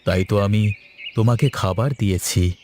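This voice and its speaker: A man voicing a grateful magical tree in a fairy-tale cartoon, speaking in a solemn, gentle way. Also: lowish voice, fairly even intonation, moderate pace, slight background noise, lightly acted. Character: grateful magical talking tree freed from a curse.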